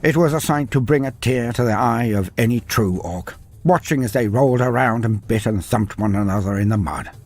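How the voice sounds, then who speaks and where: Wry storybook narrator, breathy man. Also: medium pitch, normal speed, hardly any pauses, darkish tone. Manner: dry, wry tone with playful sidebars. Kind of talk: storybook narration with humorous asides. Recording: faint music behind narration.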